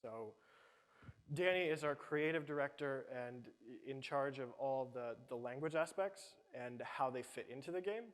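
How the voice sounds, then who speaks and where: A man's voice: mid-range voice, moderate pace. A man, the business lead of a startup, explaining his team's product to an audience. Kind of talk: audience Q&A pitch answer. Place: event hall. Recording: long hall reverb.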